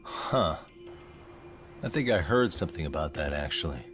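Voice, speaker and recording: A private detective in his thirties with a deep, low voice. He talks in a measured, casual and wry way, as in a dubbed game drama. Music plays clearly behind him. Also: lively intonation. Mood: casual, matter-of-fact. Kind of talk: English dub of a video game drama.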